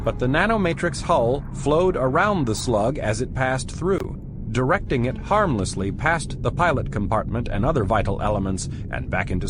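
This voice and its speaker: Brief: a man of middle pitch narrating a dramatized audiobook, animated, with music. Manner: expressive storytelling.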